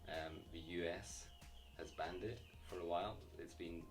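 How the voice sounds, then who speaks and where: A man's voice: deep voice, steady tone, normal speed. A man talking as a guest in a casual chat, explaining his views confidently and with emphasis. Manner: confident, emphatic, some restarts. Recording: faint voice from far off the mic, light music.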